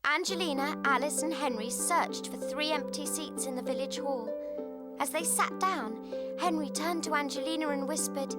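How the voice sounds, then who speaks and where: High-voiced woman narrating a children's storybook over music. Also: normal speed. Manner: expressive storytelling. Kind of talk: scripted children's read-along narration.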